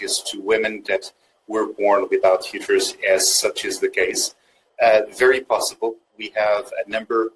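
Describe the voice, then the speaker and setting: A man's voice: on the low side, fairly bright tone, slight background noise, rough recording. A man from Portugal, a fertility doctor, answering questions on a webinar with frequent fillers and restarts. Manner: hesitant, many fillers and restarts.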